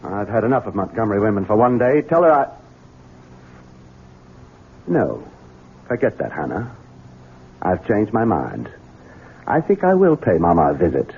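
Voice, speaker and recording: Scheming husband, radio mystery: husky, excitable, muffled narrow-band old broadcast. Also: male voice, lowish voice, normal speed, pauses often, exaggerated voice. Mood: resentful, determined. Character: resentful, scheming Southern husband and coldly devious son. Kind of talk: 1970s radio drama acting.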